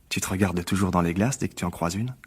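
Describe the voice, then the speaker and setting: A bitter male newspaper critic in a radio drama. His voice sits fairly low. He needles with sarcasm and false cheer, like a failed actor settling scores. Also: husky. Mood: scornful. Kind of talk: French radio drama acting.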